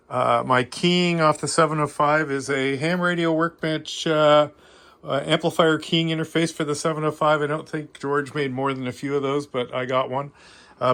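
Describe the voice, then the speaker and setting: A man's voice: mid-range voice, fairly bright tone. A man with a Canadian accent giving a casual, unscripted hobbyist walkthrough in a modest, self-deprecating way. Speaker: amateur radio hobbyist giving a tour.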